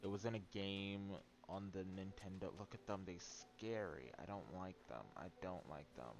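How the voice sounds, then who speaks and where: A man's voice: low-pitched, moderate pace, creaky, background noise. A man doing casual, rambling and playful live-stream commentary while he plays a video game. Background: faint game music.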